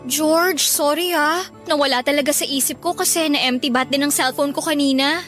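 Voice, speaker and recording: An actress playing an evasive, impatient young student in radio drama dialogue, with a brisk, defensive manner. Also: female voice, sits high, fairly bright tone, faint music behind. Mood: evasive, apologetic.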